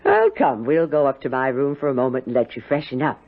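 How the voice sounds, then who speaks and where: An actress playing a stern, commanding middle-aged housekeeper aunt, clipped and authoritative yet caring, in a 1970s radio drama. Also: female voice, extremely low pitch, huge pitch swings, a little fast, full character voice. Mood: brisk, caring. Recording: narrow-band old radio broadcast.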